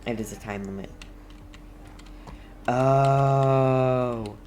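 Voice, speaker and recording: A game streamer giving casual, slangy gameplay commentary, muttering in a halting way. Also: mid-range voice, a little mellow, slight background noise.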